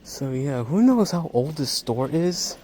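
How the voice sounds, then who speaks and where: A man giving casual, halting vlog commentary like an absorbed hobbyist as he walks around a large store. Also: on the high side, sing-song, dark tone. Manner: halting, absorbed enthusiast's narration. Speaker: amateur vlogger. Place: large home improvement store, indoors. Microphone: handheld phone while walking. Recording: store ambience, noticeable background noise.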